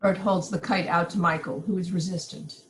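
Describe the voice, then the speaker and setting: A woman's voice: fairly low pitch, steady tone, moderate pace, slight background noise, poor audio. A woman reading stage directions as the narrator in scripted narration.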